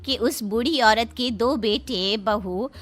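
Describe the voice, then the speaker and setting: Village gossip for a cartoon, played by a woman: knowing, moralising, unhurried, high-pitched, music underneath. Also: fairly bright tone. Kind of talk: Hindi cartoon story dubbing. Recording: music behind the voice.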